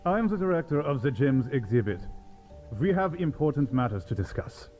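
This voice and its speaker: A man's voice: on the high side, sing-song, normal speed, darkish tone, soft background music. A menacing director in a video game. He is curt and demanding, with strongly swinging intonation, heard through dull, muffled audio. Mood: menacing, impatient. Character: imperious, impatient, menacing male exhibit director.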